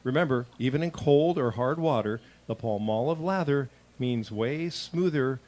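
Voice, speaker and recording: A man's voice: mid-range voice, lively intonation, moderate pace, darkish tone. A man announcing in old-time radio style, reading sponsor copy and credits with a formal, salesmanlike delivery.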